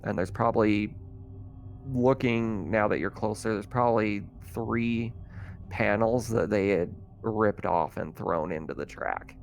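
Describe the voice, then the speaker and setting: A man's voice: fairly low pitch, a little slow, vocal fry, slight background noise. A man running a tabletop roleplaying game as game master, describing scenes in a casual, improvised, conversational way. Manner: casual, conversational, thinking out loud.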